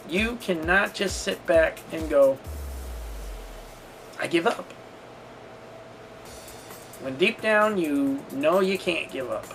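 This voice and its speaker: A man telling a personal story as a vlogger, casual and candid, talking in a halting, rambling way. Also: high-pitched, creaky, fairly bright tone. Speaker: storytelling vlogger and channel host.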